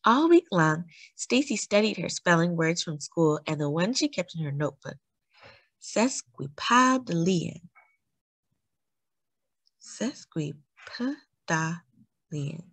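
A woman reading a children's picture book aloud as a storyteller, with deliberate, dramatic emphasis on key words. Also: fairly low pitch, big pitch swings, a bit bright, no room sound. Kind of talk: expressive read-aloud narration for children.

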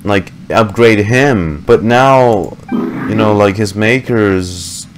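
A young man giving casual, halting, thoughtful reaction commentary as a game streamer, close on a desk microphone. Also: on the low side, over music. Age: young adult. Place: small indoor room. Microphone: desk microphone on boom arm, close.

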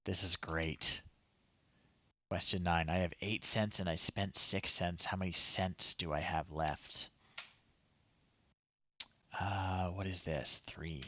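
A man's voice: sits low, steady tone, slow, long pauses, sharp tone, no room sound. A man in his thirties casually commentating a game on a live stream, reading screen text aloud with amused, playful asides. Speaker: streamer, host of a retro game show. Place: small indoor room at home. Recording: slightly dull, dry close-up recording.

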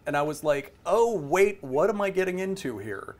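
Middle aged guy hosting a chatty video call interview, voice a bit high. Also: lively intonation, normal speed, a little mellow. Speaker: interview host. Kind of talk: casual interview chat. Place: indoors on a group video call.

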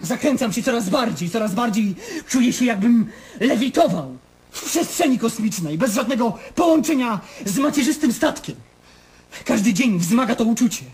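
An actor in a radio play voicing an anguished, self-questioning middle-aged man, brooding and intense, speaking in halting monologues. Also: very high-pitched, lively intonation, darkish tone, breathy edge, full character voice. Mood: agitated, bitter.

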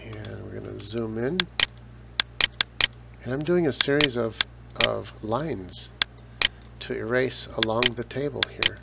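A man talking through a photo editing tutorial step by step, relaxed, with casual asides. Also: on the low side, takes it slowly, creaky, pauses often. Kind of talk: screen-recorded software tutorial. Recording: dull lo-fi voice with loud noise.

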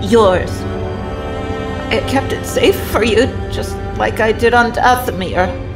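Woman playing a defiant, hurting ex-assassin in an audio drama, slow and intense, music under it. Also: a little high. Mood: pleading. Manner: halting, intense delivery. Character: guarded, remorseful female former assassin, wounded and defiant.

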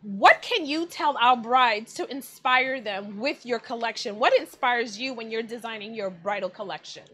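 A woman's voice: on the high side, moderate pace, a bit bright. A woman hosting an interview over a video call as a magazine's editorial director, speaking in an effusive, admiring way. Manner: effusive, admiring, many exclamations. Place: indoors in front of a plain wall. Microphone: video call webcam.

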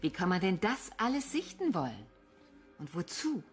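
A woman's voice: fairly low pitch, sing-song, moderate pace, faint music behind. An actress playing a distressed but determined bereaved woman in a crime radio drama. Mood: urgent.

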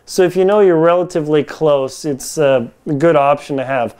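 A man in his forties with a fairly high, very clean and smooth voice talks straight to camera as a reviewer. His delivery is informal and slangy, like casual conversation. He is recorded on a lapel mic indoors in a home kitchen. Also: normal speed, a little mellow. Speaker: product reviewer.

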